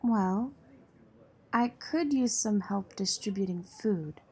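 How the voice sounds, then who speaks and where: A woman's voice: medium pitch, lively intonation, takes it slowly, darkish tone, husky, full character voice. A woman playing a tough, jaded relief center volunteer in an audio drama, blunt and world-weary. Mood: skeptical.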